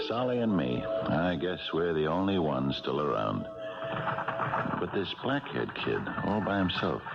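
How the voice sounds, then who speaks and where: A man playing an older, haunted retired American policeman, speaking haltingly in 1970s radio mystery style. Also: low-pitched, wildly swooping pitch, vocal fry, exaggerated voice. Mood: haunted, reflective. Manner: halting, hesitant, colloquial. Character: Jerry Roman, old retired policeman, wistful, haunted storyteller. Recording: dull 1970s radio broadcast, music behind.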